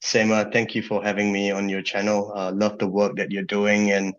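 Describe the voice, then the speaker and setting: Low-voiced man with rambling restarts, lo-fi. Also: completely flat delivery, moderate pace. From Singapore. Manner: rambling with many restarts and fillers. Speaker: guest. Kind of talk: casual interview talk.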